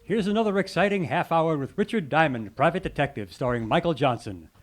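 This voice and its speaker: A man's voice: a little high, expressive, fairly quick. A man announcing an old-time radio show in a scripted, vintage announcer style.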